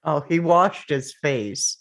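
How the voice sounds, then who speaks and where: A woman playing a supportive, quietly protective husband and father, understated and reassuring, in a dramatic table read. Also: deep voice, lively intonation, slow pace, exaggerated voice.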